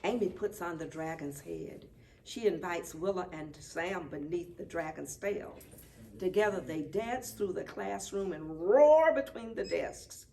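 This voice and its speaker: A woman reading a children's picture book aloud at story time, expressive and deliberate. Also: lowish voice, creaky. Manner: deliberate, animated. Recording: distant mic, faint background noise.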